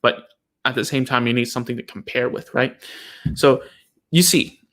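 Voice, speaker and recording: A man's voice: medium pitch, steady tone, normal speed, fairly bright tone. Man teaching, ends lines with "okay?". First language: Cantonese. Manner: chatty, fills with "okay?" and "right?" after nearly every point. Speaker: speech therapist giving a live talk. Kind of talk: informal live teaching to parents and therapists.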